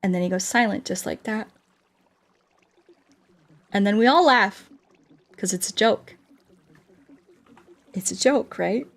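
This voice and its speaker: A woman chatting casually and telling stories as a streamer, with an expressive, playful delivery. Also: medium pitch, slow, gravelly, long pauses. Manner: expressive, playful, acts out quoted dialogue. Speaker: game streamer chatting with viewers. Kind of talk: casual chat, storytelling.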